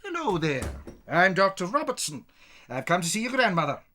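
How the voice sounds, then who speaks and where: A woman's voice: fairly low pitch, big pitch swings, moderate pace, fairly bright tone, slight background noise. A woman playing a businesslike family doctor, grave and matter-of-fact, in a dramatized audiobook.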